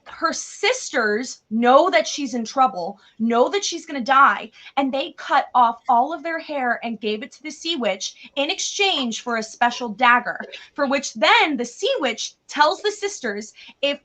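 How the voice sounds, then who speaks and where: A woman retelling a tale in a casual, chatty way, with an animated, theatrical and slangy manner. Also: sits high, unhurried, few pauses. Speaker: co-host retelling fairy tales.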